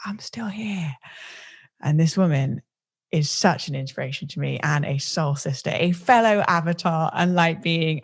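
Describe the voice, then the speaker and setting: A woman's voice: sits low, vocal fry. A woman hosting a podcast over a video call, talking in a gushing, dreamy way. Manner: gushing, dreamy, with playful put-on voices. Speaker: podcast host.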